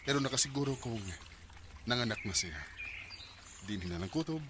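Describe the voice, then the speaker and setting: A man's voice: medium pitch, expressive, moderate pace, background noise, lightly acted. An actor playing a guilt-ridden husband, narrating his confession in a radio drama. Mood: remorseful.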